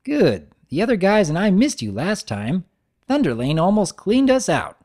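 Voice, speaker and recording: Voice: medium pitch, huge pitch swings, moderate pace, a little mellow, pitched above the performer's own voice. A narrator voicing a friendly, mild-mannered married stallion, a cartoon baker, chatty and earnest.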